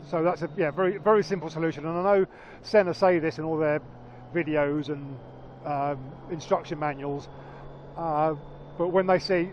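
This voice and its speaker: A man with a naturally loud voice, chatting casually and haltingly on a vlog, repeating words as he explains. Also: a little high. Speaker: motorcycle vlogger. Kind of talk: casual chat. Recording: dull lo-fi sound with faint traffic.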